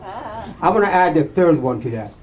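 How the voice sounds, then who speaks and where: Older Iranian-accented man, high voice. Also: sing-song, moderate pace, a little mellow, a little hiss or hum. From Iran. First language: Farsi. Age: 70s. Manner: humble, joking, hesitant with many uhs. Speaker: award recipient, author. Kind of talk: acceptance speech.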